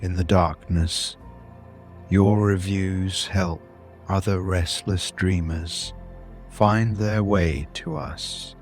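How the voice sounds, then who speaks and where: A man narrating a scripted bedtime story in a soothing, deliberate manner, with long pauses between short phrases. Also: low voice, steady tone, faint music behind. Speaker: host and narrator of a sleep story podcast.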